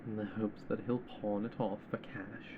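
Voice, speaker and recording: A man playing a possessive captor in an ASMR roleplay, making terse, cynical, musing commentary in a creaky voice. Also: lowish voice, little pitch movement, moderate pace, narrow, tinny audio, noisy background, natural voice. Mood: dry, musing. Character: possessive male captor drily commenting on an old film.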